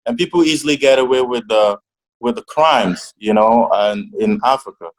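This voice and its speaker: A young man from Togo talks through his answers on a video call. He hesitates and restarts often, and his pitch sits at an ordinary middle level. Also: moderate pace, rough recording. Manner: hesitant, many restarts and fillers. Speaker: interview guest. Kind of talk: casual interview answers.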